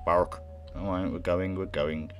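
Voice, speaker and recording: A man's voice: low-pitched, lively intonation, moderate pace, over music. A young man doing a let's play, reading game text aloud and adding bemused, muttered casual reactions. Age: young adult. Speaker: gamer playing on camera.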